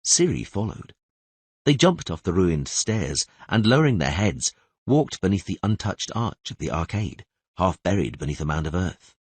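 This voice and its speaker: Deep, very husky male audiobook narrator. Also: big pitch swings, normal speed, no room sound. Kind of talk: scripted fantasy narration.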